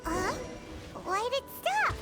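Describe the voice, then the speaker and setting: Childlike game fairy companion: piercingly high, squeaky, bouncy intonation, exclamatory and bossy, heavy music behind. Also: female voice, big pitch swings, takes it slowly, full character voice. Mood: puzzled. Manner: exclamatory, cutesy, speaks of herself in third person. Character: tiny floating fairy girl companion, childlike, excitable, bossy. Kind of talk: video game voice acting.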